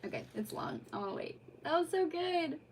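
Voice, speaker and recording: A woman's voice: high voice, big pitch swings, brisk. Gushing woman streamer over background noise. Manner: gushing, effusive, rambling. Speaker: streamer hosting a live read-aloud. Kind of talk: casual livestream chat.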